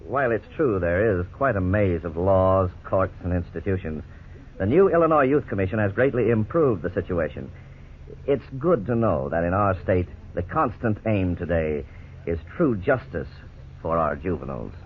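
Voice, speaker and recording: A legal expert in a 1950s radio play, laying out a case in a calm, measured way. His voice is very deep, and the sound is thin and tinny with a faint hiss. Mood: explaining. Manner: measured, explanatory. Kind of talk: 1950s radio drama acting.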